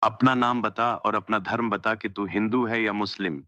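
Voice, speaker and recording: A man's voice: on the low side, steady tone, normal speed, sharp tone, no room sound. A man playing a threatening, demanding armed militant in a cartoon story. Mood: menacing. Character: menacing male armed militant interrogating captives. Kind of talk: dramatised cartoon story dialogue. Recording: muffled, clearly noisy recording.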